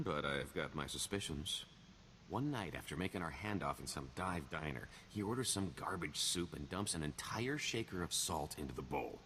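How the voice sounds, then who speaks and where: A silver-tongued video game swindler with a low male voice that rises and dips with great expression. He is self-assured and wry, always working a sales pitch. A light layer of noise sits behind him. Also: pushed and bright, exaggerated voice. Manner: wheedling salesman patter, wry. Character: smooth-talking male con-artist schemer, sly and self-assured. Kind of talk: video game dialogue acting.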